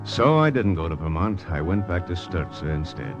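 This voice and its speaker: A very low-pitched resolute American lieutenant tells his war story in classic old-time radio style. He is measured and stoic, with an American accent, over prominent music on a vintage recording. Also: very animated, muffled recording. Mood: reflective. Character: steadfast American male OSS lieutenant, resolute and self-sacrificing. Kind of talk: 1940s-50s radio acting, first-person narration and dialogue.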